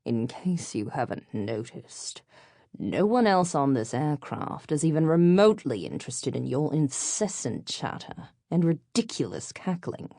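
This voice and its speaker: A woman's voice: low voice, very animated, a little slow, no echo, clean studio sound, heavily acted. A woman voicing a stern, irritated British man in an audiobook, with clipped, formal, biting speech. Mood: cold anger. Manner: clipped, formal, scolding in hushed anger.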